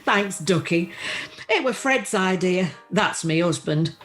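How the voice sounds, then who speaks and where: A woman's voice: on the low side, animated, slow, a bit bright. A woman playing a chatty, plucky older widow in an amateur radio play, with a Northern English accent and an earthy, self-deprecating manner. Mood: chatty, wistful. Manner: earthy, self-deprecating, uses homely dialect. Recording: faint music, home video-call recording.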